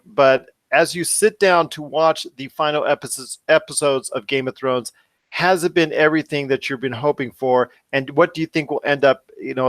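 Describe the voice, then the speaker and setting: A man's voice: mid-range voice, fairly bright tone, no echo. A man hosting a podcast in a casual interview style, with wordy, rambling phrasing. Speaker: podcast host.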